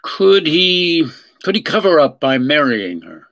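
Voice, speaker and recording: A man's voice: on the high side, big pitch swings, a little slow, fairly bright tone, exaggerated voice. An actor playing an eager, smitten male detective partner in an old-time radio drama, bumbling and ingratiating. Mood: awkward, curious.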